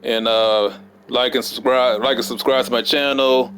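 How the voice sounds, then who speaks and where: A man vlogging casually at work, hoarse from a cold, talking in halting runs full of fillers and restarts. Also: medium pitch, steady tone, fairly bright tone. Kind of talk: casual rambling monologue. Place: at work during downtime.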